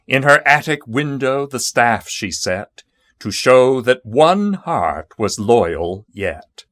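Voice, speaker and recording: A man reciting narrative poetry in a measured, solemn and dramatic manner. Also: on the low side, a bit bright, no room sound, clean studio sound. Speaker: poetry reader.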